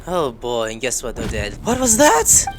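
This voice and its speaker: A woman's voice: very deep voice, wildly swooping pitch, moderate pace, background noise. A woman giving casual, exclamatory, sweary reaction commentary while playing a video game. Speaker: gamer reacting to a game playthrough. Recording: game music under the voice.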